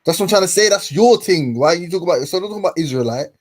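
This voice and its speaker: A man's voice: sits high, lively intonation, fast talker. A young man, hosting a casual chat and teasing his guest with laughing, slangy banter. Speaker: host.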